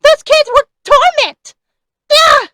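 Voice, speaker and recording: A woman's voice: very high voice, no echo, clean studio sound, heavily acted. A woman voicing a grumpy, sassy young cartoon elephant babysitter with a petulant, dramatic manner. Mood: outraged. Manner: petulant, dramatic, quick to whine and shout. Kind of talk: animated bedtime-story character banter.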